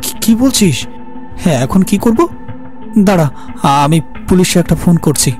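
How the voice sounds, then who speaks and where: A male actor voices a guilt-haunted office worker in a horror cartoon. His voice sits a little high and sounds earnest and uneasy as he narrates and speaks his part. Soft music runs underneath. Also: lively intonation, a little slow. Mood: panicked. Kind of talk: dramatic horror story narration and dialogue. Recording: music behind the voice.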